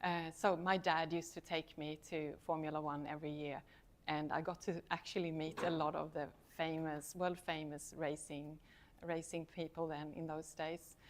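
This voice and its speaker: Brief: middle-aged Swedish-accented woman, lowish voice, chatty lecturer who laughs at herself. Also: level tone, normal speed. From Sweden. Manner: chatty, self-deprecating, laughs often. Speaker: university lecturer, guest speaker. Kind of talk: casual talk to a student society.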